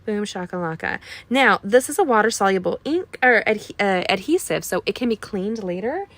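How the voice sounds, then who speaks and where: A woman with a slightly scratchy voice walks viewers through a card-making project in a relaxed, chatty way. She tosses in playful asides and often drops her voice to a near whisper. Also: medium pitch, normal speed. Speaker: card-making tutorial host. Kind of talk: casual craft tutorial chat.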